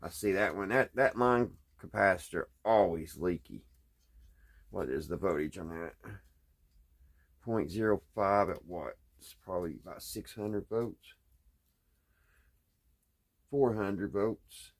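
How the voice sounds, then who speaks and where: Low-voiced folksy man. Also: slow, long pauses. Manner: folksy, thinking aloud while working. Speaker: hobbyist radio repairer, video host. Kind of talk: casual unscripted hands-on repair vlog. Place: indoors at a workbench.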